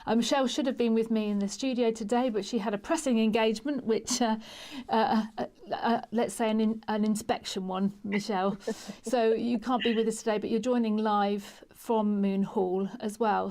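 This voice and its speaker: A woman's voice: on the high side, a little mellow. A woman hosting a discussion from a studio, talking in a friendly, hesitant way with fillers. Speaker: host.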